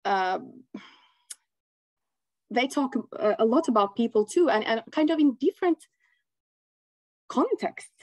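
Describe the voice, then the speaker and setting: A woman from Finland with Swedish as her first language and a Finland-Swedish accent, chatting haltingly as an interview guest on a video call. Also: on the high side, no room sound. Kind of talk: casual conversational interview. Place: small room at home, indoors.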